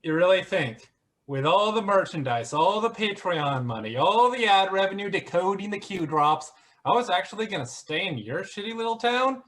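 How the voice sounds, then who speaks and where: Man acting as a smug, sarcastic grifter, swooping high voice. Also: lively intonation, moderate pace, fairly bright tone. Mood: smug, dismissive. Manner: sarcastic, mocking, theatrical. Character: smug, flippant male internet conspiracy grifter, cynical and taunting. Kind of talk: virtual one-act play acting.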